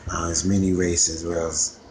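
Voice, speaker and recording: A man's voice: sits low, little pitch movement, noisy background. An American man hosting his own show, delivering a rambling monologue full of ums and restarts. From United States. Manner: halting, with frequent ums and restarts. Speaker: host.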